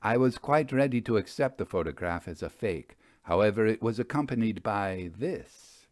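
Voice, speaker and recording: A proud, theatrical male magician from a storybook, acted by a man. His speech is florid and formal, broken by coy hesitations. The pitch moves widely and the sound is dry. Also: animated, normal speed, darkish tone, dry recording, lightly acted. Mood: guarded. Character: famous male stage magician, proud, theatrical, evasively apologetic. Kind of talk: dramatized audiobook storytelling.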